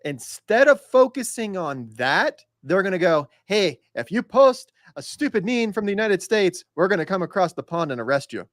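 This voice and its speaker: Texas-born guy, kinda high voice, blunt podcast opinions, dry close mic. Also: big pitch swings, normal speed, few pauses, fairly bright tone, no echo. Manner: blunt, argumentative. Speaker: podcast co-host. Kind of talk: casual opinion talk.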